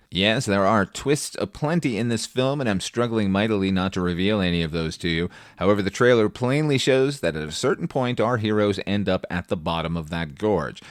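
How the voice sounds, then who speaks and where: A man with a very low-pitched voice presents a film review in a conversational but prepared style. His delivery is wry and opinionated, with emphatic little asides. The sound is dry and close, free of room reflections. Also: no room sound. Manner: casual swearing. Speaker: film review podcast host.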